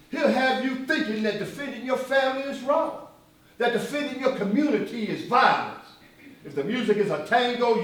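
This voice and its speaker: An actor playing a fiery, defiant, sardonic activist leader on stage, speaking in emphatic, mocking, oratorical phrases. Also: male voice, high voice, a bit bright. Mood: defiant, indignant. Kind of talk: theatrical stage acting, rhetorical speeches. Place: stage performance, recorded from far off. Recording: distant, very echoey stage recording.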